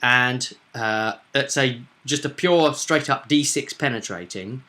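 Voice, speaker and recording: Guy running a tabletop game, bright voice, animated, chatting through what happened, repeats himself. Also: mid-range voice, measured pace. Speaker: game master, host. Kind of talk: casual recap of a tabletop roleplaying session.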